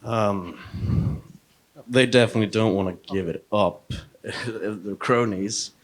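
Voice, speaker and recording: A man's voice: lowish voice, slow pace, rough recording. A man from Iceland, giving a wry, somewhat hesitant unscripted answer as a panelist.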